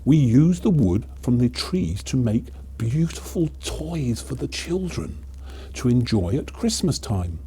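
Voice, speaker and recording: A man's voice: medium pitch, very animated, unhurried, warm tone, slight background noise. A man voicing a toymaker's earnest, pleading wife in a children's storybook.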